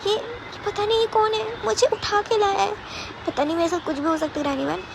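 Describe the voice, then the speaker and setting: Storybook captive played by a woman: young man, indignant, stammering, high and creaky. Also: fast talker, noisy background. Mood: pleading. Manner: indignant, stammering, repeats demands. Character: angry, defiant captive young man, pleading and shouting.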